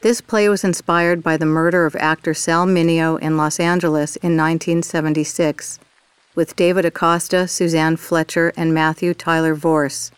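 Very clear, smooth woman announcer. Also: low voice, no echo. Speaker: announcer reading credits. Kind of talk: scripted radio theater announcement.

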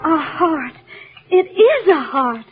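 An actress playing an earnest, tender-hearted farm girl with a tearful, halting delivery in an old radio drama. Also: high voice, very animated, faint music behind, exaggerated voice. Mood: moved, delighted. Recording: tinny old radio recording.

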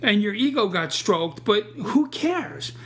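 A man's voice: high voice, very animated, unhurried, a bit bright. A man who teaches martial arts, talking casually and bluntly, often repeating words as he restarts sentences. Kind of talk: casual podcast conversation.

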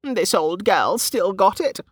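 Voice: mid-range voice, expressive, takes it slowly, slightly rough, no echo, studio quality, voice pushed up, exaggerated voice. A narrator voicing a teasing, bawdy old woman who runs a market stall, with a knowing manner, in an audiobook. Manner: teasing, knowing, calls people girl and dear. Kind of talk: audiobook character dialogue.

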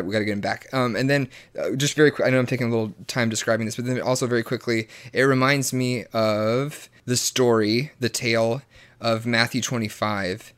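A man in his late twenties talking in a casual chat, rambling and often restarting his words, with sarcastic asides. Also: on the low side. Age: 20s. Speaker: guest. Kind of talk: casual conversation.

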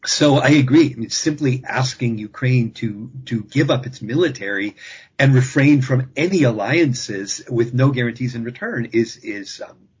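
A man with a very husky, breathy voice talks through a panel discussion as an expert guest, careful and measured, often circling back to restart a phrase. His voice comes through a dull, lo-fi line. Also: mid-range voice. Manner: measured, thinking aloud with frequent restarts.

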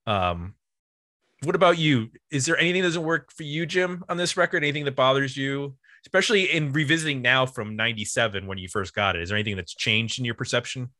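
Bright, dry-sounding man chatting casually. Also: medium pitch, fast, dry recording. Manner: informal, rambling, thinking aloud. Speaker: podcast host. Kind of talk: casual music chat.

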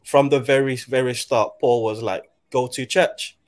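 A man in his twenties from Nigeria, talking in a reflective, halting way with many restarts in a casual conversation. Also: mid-range voice, steady tone. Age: 20s.

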